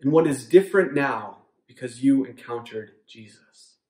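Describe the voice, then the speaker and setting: Earnest pastor in an echoey room. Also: male voice, medium pitch, animated. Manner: earnest, measured, softening to a hushed prayer. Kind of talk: sermon with closing prayer. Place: home room with bookshelves, indoors.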